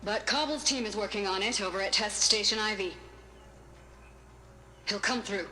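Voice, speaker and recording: A woman's voice: medium pitch, normal speed, pushed and bright, a little hiss or hum, lightly acted. An actress playing a burdened but resolute female scientist recording a log in a video game, grave and clipped.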